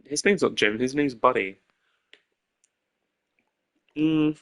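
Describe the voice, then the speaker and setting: A streamer casually musing aloud while voicing a game, muttering low and half to themself. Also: slow pace, a bit bright. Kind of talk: casual live-stream chat. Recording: game music behind the voice.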